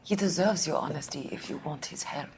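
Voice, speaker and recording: A woman's voice: sits low, brisk, gravelly, a little mellow, a little hiss or hum. An actress playing a proud, wary, grieving woman in a 1940s crime drama game, restrained and questioning. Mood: wary, challenging. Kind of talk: dramatic video game acting.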